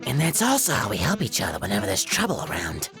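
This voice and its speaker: A woman voicing a wary, soft-hearted male bartender in an animated series, with a hesitant, conversational manner. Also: rumbling low, fast talker, faint music behind, exaggerated voice. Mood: fond. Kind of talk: animated web series voice acting.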